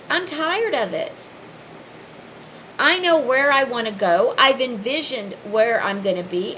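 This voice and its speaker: Slow, emphatic high-voiced woman. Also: bright, forceful tone, a little hiss or hum, low-quality recording. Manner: emphatic, repetitive short declarative phrases. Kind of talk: impassioned informal monologue addressed to the viewer.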